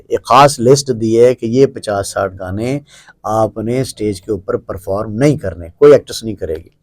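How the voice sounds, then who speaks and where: A man's voice: medium pitch, a little mellow, no echo. Man, middle-aged, Pakistani accent, interview host, English phrases mixed in, close dry sound. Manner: mixes English words and phrases into talk. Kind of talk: conversational interview hosting.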